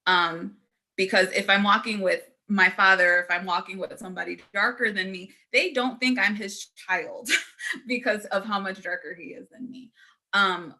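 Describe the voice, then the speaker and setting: A woman panelist telling her own story in an earnest, halting way with frequent ums. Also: mid-range voice, bright, forceful tone.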